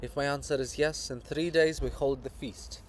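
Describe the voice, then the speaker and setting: A man's voice: medium pitch, steady tone, normal speed, slight background noise, natural voice, rough recording. A man voicing a proud, cold princess monument, guarded and grandiose, in a solemn, declamatory dramatic reading. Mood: reserved, stern.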